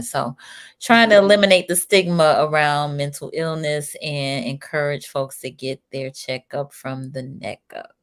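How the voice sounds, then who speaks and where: A woman hosting a live-streamed interview show, upbeat and greeting viewers by name. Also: a bass voice. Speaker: talk show host.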